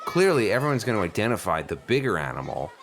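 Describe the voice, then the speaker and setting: A man's voice: fairly low pitch, big pitch swings, fast talker, background noise. A man playing a precocious, inquisitive eight-year-old boy who stammers and rambles earnestly in an improvised comedy radio play. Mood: insistent, pedantic. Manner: hesitant stammers, rambling run-on questions.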